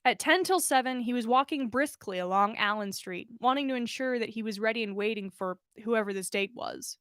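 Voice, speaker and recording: High-voiced woman reading a story aloud. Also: a little fast, a bit bright, clean tone, no room sound, clean studio sound. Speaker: podcast host reading fan fiction aloud. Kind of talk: expressive storybook narration.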